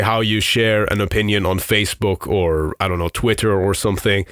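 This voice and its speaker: A man's voice: on the low side, dry recording, clean studio sound. Iranian-born man hosting a podcast. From Iran. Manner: candid, rambling with fillers. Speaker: podcast host. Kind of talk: casual solo podcast talk.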